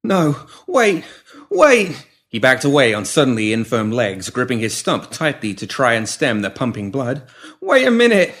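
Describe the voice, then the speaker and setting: A man voicing a coarse male street pimp who is frantic and pleading, in a loud, raised voice. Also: sits high, very animated, a little fast, voice pushed up. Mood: panicked pleading. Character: male pimp Pinky, coarse thug turned panicked.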